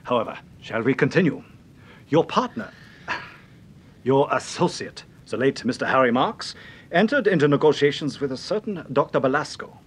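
An actor playing a mocking, over-polite intruder in an old radio thriller, with a formal, mannered way of talking. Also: male voice, animated, normal speed, slightly rough. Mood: silkily mocking. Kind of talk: old radio detective serial acting. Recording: vintage radio recording with rumbling background noise.

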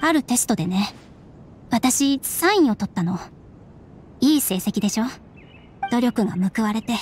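An actress voicing a subdued young woman in a Japanese game, speaking in a measured, wistful and serious way. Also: a little high, a little mellow, slight background noise, close to an everyday voice. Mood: wistful, hurt. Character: subdued, resigned young woman, estranged daughter of strict scholar. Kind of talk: Japanese anime game voice acting.

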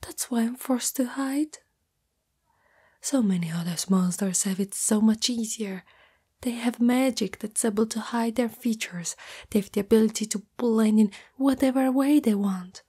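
A woman playing a shy, loving young spider-girl in an intimate, breathy whispered ASMR roleplay, speaking hesitantly and afraid of rejection. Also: on the high side, normal speed, mellow. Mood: hurt, pleading. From Italy.

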